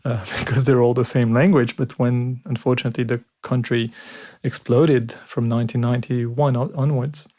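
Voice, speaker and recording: A man in his forties from Switzerland, a sports doctor chatting as a guest at home in a relaxed, thoughtful way with many pauses and fillers. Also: mid-range voice. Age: 40s. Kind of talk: casual conversation. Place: home, indoors.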